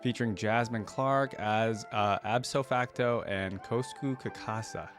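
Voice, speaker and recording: A man's voice: fairly low pitch, fairly even intonation, measured pace, over music. A young man hosting a reaction video, commenting casually in a laid-back, musing way.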